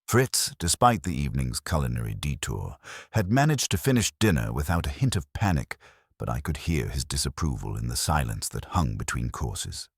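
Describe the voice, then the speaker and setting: A man's voice: rumbling low, slightly rough, no echo, studio quality. A man narrating a hard-boiled first-person detective story in a wry, storytelling manner.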